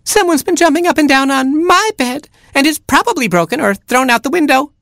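Squeaky, screechy little baby chick. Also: male voice, expressive, fairly quick, gravelly, a bit bright, no room sound, studio quality, full character voice. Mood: upset. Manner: screechy, whiny, exclaiming. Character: tiny excitable baby chick, young boy, shrill and timid. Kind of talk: storybook character voice.

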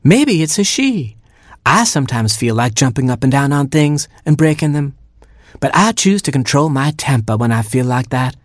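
Reader voicing a bossy mother hen, scolding, lively and high, dry sound. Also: normal speed, no room sound, heavily acted. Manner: clucking, scolding and indignant. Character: bossy fussy mother hen, quick-tempered.

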